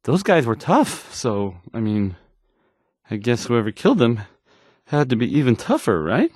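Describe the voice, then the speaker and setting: A man's voice: medium pitch, sing-song, darkish tone, dry recording, studio quality. An actor playing an awkward, insecure male radio DJ in a video game, stammering and hesitating through his news links. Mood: nervous, awkward. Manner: halting, stammering, hesitant, awkward, self-doubting. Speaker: radio DJ character.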